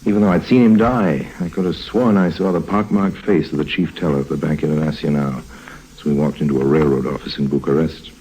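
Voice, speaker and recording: Deep-voiced, wry roguish adventurer, 1950s radio. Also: male voice, steady tone, brisk, mellow, breathy edge, low-quality recording. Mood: wry narration. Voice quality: deep, rich voice. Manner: wry, urbane, dryly ironic. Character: roguish, smooth-talking adventurer and con man on the run. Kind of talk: 1950s radio drama acting with first-person narration. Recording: old 1950s radio recording.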